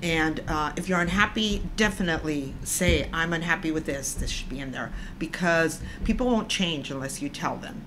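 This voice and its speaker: Chatty woman with a low voice. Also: normal speed, few pauses, noisy background. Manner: chatty, opinionated, laughs often. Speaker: vlog co-host. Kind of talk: casual chat. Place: indoors, living room with orange sofa.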